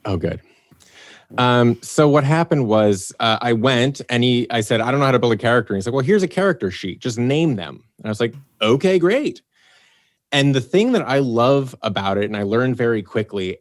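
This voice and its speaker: A man chatting on a video call, telling animated stories and acting out quoted lines. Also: mid-range voice. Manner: animated storyteller, acts out quotes. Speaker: podcast guest, head writer. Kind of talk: casual chat on a video call.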